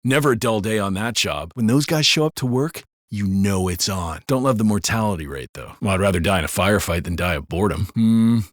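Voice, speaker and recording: A man with an American accent acting a gruff, foul-mouthed beat cop in a video game, with sardonic delivery. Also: fairly low pitch, animated, fairly quick, dry recording, clean studio sound, natural voice. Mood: sardonic banter.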